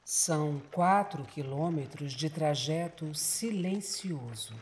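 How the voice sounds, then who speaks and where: A narrator delivering scripted dramatic narration for a horror radio drama in a measured, deliberate storytelling manner. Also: medium pitch, slight background noise.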